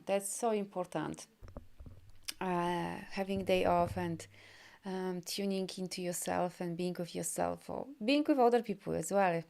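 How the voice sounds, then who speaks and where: A woman from Poland talks in English, her second language, on a live video as a healing coach. Her voice is smooth and clear at a middle pitch, and she keeps things encouraging while rambling through lots of ums and restarts. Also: darkish tone. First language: Polish. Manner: loose rambling with many fillers and restarts; upbeat and encouraging. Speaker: energy healer and coach. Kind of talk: live video session reading viewer comments.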